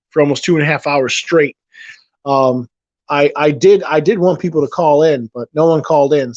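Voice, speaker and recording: A man's voice: a little high, no room sound, highs cut off. A man hosting a casual late-night livestream, rambling and restarting his sentences, reading out chat messages, his voice getting worn after a long session. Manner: rambling, repeats himself, restarts.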